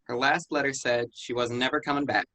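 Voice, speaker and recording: A man's voice: medium pitch, steady tone, a little fast, pushed and bright, dry recording, natural voice, poor audio. A man reading the part of a terse, defiant teenage farm son. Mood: sullen. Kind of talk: staged play reading.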